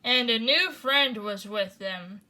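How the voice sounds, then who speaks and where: Slow, bright-voiced woman reading a bedtime story. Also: a little high, low-quality recording. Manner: slow, animated, comments between passages. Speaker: storyteller host.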